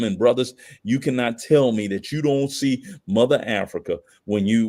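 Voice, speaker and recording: An American man with a fairly low voice speaks as a guest on a podcast. He is emphatic and opinionated, mixing slang with pointed rhetorical questions as he makes his case. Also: darkish tone. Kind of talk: opinionated conversational commentary.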